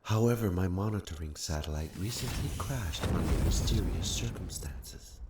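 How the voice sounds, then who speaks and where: An actor playing a calm, solemn machine guide giving formal, measured mission briefings in a game. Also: male voice, low voice, natural voice. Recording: loud background noise and ambience.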